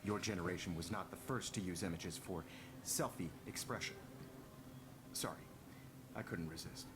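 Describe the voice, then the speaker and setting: A man's voice: sits low, normal speed, noisy background, close to an everyday voice. A man playing a smug, condescending art teacher in a video game, with a theatrical, lecturing manner and a menacing edge. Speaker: photography teacher.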